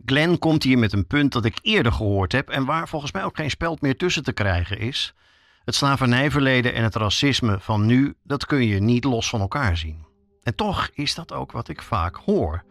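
A man's voice: mid-range voice, fairly bright tone. A man narrating a scripted documentary podcast as its reporter.

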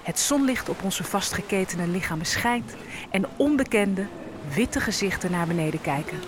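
A woman's voice: medium pitch, animated, moderate pace, noisy background, close to an everyday voice. An actress playing an enslaved African woman held captive on a ship, narrating her ordeal in an audio drama. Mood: tense, sombre.

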